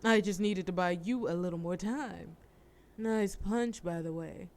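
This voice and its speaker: A woman's voice: on the low side, a little slow, darkish tone. A woman playing a sly, flirtatious mafia boss in an audio roleplay, with a wry, teasing, formal way of talking. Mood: urgent, flustered. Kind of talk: scripted audio roleplay acting.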